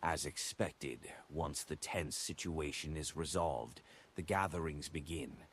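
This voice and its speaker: A man with a husky voice reading a novel as a scripted audiobook narration. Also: very deep voice, unhurried, a bit bright, a little hiss or hum.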